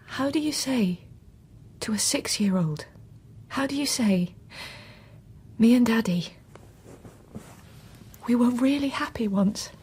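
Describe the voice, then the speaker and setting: Breathy, fraught young mother. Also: fairly high pitch, darkish tone. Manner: fraught, searching for words. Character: anguished, bitter mother of a six-year-old girl. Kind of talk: naturalistic radio drama acting.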